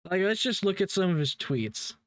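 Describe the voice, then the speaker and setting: A man's voice: high voice, very animated, normal speed, dry recording, studio quality. Blunt casual commentary man. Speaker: drama commentary host.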